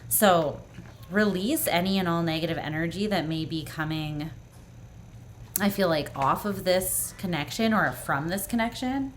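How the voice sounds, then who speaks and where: A woman doing a tarot reading for the viewer, earnest and reassuring, often clearing her throat as her voice grows hoarse. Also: fairly low pitch, a little slow, a little hiss or hum. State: voice going hoarse. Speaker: tarot reader. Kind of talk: pick-a-card tarot reading, speaking to the viewer.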